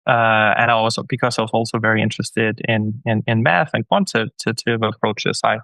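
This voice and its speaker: A man's voice: fairly low pitch, steady tone, a little fast, gravelly, a bit bright, dry recording. A man from Denmark, a guest scientist answering interview questions in a hesitant way, with many restarts and repeated words.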